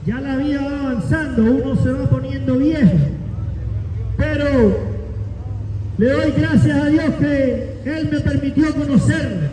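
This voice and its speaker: A man's voice: very high voice, a little slow, distant mic, background noise. A man, preaching a declamatory sermon and calling listeners to faith. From Cuba. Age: 40s. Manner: declamatory, builds up lists and rises to shouts. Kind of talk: sermon with scripture reading and altar call. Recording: echoey, long reverb, from afar.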